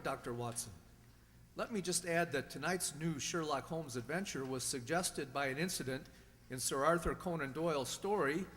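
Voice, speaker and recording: Higher-voiced male radio announcer in a hall. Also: fairly bright tone. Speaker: host and announcer. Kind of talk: scripted old-time radio announcing. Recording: long hall reverb.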